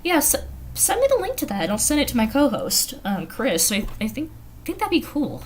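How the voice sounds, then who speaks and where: A woman's voice: sits high, huge pitch swings, fairly quick. A woman with an American accent hosting a livestream, chatting informally and giggly as she reads out viewer comments. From the Carolinas, United States. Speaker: livestream host. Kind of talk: casual livestream chat, reading viewer comments aloud. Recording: noisy home livestream audio.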